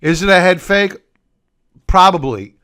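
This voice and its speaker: A hoarse, middle-aged man, losing his voice, hosting a solo stock-market recap over charts and walking viewers through his reasoning. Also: sits high, animated, sharp tone. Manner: deliberate, explanatory, addresses viewers as folks. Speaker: market commentary host.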